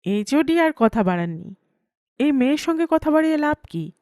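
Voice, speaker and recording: High-voiced woman narrating a story. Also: very animated, normal speed, darkish tone, no echo, clean studio sound. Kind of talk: expressive first-person audio story narration.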